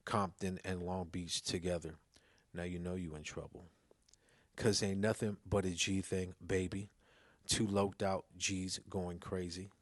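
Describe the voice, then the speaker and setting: Low male voice for dramatic verse reading, close and dry. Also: flat delivery, unhurried, dry recording. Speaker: news reporter reading lyrics.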